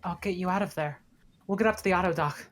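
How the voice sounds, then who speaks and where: A woman's voice: lowish voice, quick pace, natural voice. A woman playing a determined but shaken spaceship officer in a dramatic table read, with urgency. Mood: shaken, urgent.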